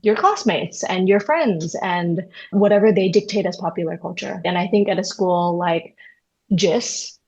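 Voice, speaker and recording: A woman therapist from Indonesia hosts a reflective conversation, her voice sitting a little low. She thinks aloud with fillers and asides, and the sound is somewhat dull and lo-fi. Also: measured pace, gravelly, darkish tone, slightly rough. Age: young adult. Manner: thoughtful, searching, with many fillers and "right?". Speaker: therapist, podcast host.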